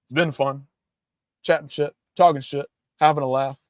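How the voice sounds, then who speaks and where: A man's voice: a little high, fairly even intonation, moderate pace, no room sound, studio quality. Profane hyped-up man ranting on stream. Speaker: livestream host. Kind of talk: casual livestream chat.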